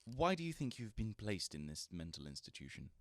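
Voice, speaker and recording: A man's voice: fairly low pitch, big pitch swings, fairly quick, fairly bright tone, no room sound, studio quality. A man playing a polite, unsure, newly qualified psychiatric doctor in a radio drama, formal and hesitant in manner. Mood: formal, clinical.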